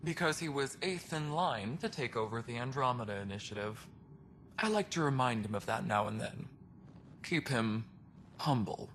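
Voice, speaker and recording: A man playing a gruff, world-weary alien station superintendent in a video game, speaking bluntly with dry sarcasm. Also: medium pitch, expressive, moderate pace, fairly bright tone, a little hiss or hum, close to an everyday voice. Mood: blunt, wry. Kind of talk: video game cutscene dialogue.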